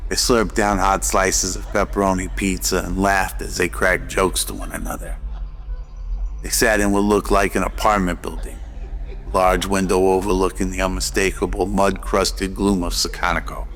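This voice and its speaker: A man reading scripted narration for an audio drama in a steady voice. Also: low-pitched, normal speed.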